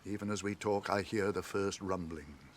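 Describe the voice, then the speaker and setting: An actor playing a solemn, commanding old wizard in a radio drama, with grand declamatory delivery. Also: male voice, deep voice, moderate pace. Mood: urgent, stately.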